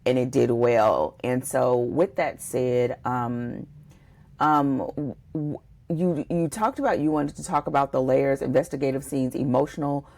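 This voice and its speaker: A woman's voice: rumbling low, a little slow, darkish tone. A woman co-hosting a podcast, discussing a book conversationally and thinking aloud in a discursive way. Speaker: podcast co-host.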